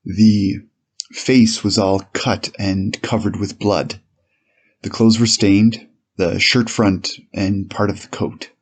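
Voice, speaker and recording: A man playing an 1890s police officer on the witness stand, giving measured, formal, slightly hesitant testimony in a dramatized courtroom reading. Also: on the low side, fairly even intonation, husky.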